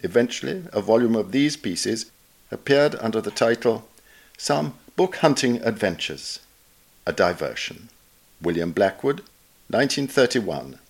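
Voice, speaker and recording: Low-pitched man reading a literary article. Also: gravelly. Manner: measured, formal, reading punctuation and dates aloud. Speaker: reader of a recorded article.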